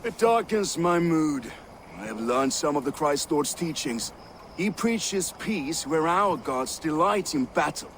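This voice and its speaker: Spirited high-voiced Norse warrior. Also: male voice, animated, a little slow, fairly bright tone, slight background noise. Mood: sombre. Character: joyous, loyal male Norse warrior of gentle faith. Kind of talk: video game voice acting.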